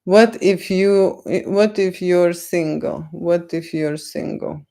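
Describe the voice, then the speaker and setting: A woman with a Lithuanian accent, not a native English speaker, answering viewers' questions on a livestream in a slow, lecturing way and tagging "okay?" onto her points. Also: fairly low pitch, gravelly, mellow. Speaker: photographer on a livestream. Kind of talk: live Q&A chat with viewers.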